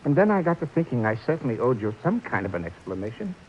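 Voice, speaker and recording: An actor playing a guilt-ridden, meek older ex-horse trainer in a vintage radio drama, talking earnestly and pleadingly. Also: male voice, big pitch swings, quick pace, slight background noise, natural voice. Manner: earnest, pleading, jumpy pitch. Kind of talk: 1940s radio acting. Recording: dull lo-fi old radio broadcast.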